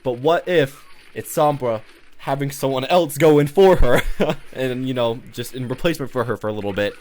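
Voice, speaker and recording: A man's voice: medium pitch, normal speed, darkish tone. A man giving casual, unscripted gaming commentary as a video host, rambling and often repeating himself. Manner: rambling, repeats himself, peppers talk with "you know".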